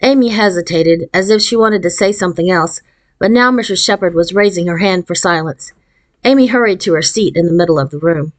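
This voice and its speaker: A woman's voice: fairly low pitch, brisk. Woman reading a children's story aloud. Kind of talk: expressive scripted audiobook narration.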